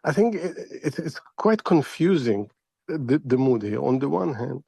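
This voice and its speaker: Guy doing analyst interview, keeps repeating words while thinking, medium pitch, no room echo. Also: big pitch swings, vocal fry, mellow, husky, studio quality. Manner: halting, repeats words as he searches for phrasing. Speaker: foreign affairs analyst, interview guest. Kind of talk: live interview commentary.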